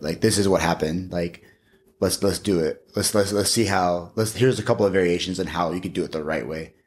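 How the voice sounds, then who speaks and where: A man in his thirties with a low-pitched voice chats as a guest on a podcast. He speaks in a measured way, thinking aloud and doubling back on words. The delivery is conversational, into a studio microphone. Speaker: guest, gym owner and martial arts coach. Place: small indoor podcast studio. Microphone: podcast microphone on a boom arm.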